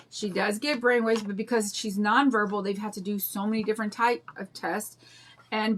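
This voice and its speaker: An older woman with a raspy voice and a drawl, chatting in a rambling way and greeting viewers while she demonstrates a craft on a livestream. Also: medium pitch, fairly bright tone, slight background noise. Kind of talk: casual chat during a craft demo.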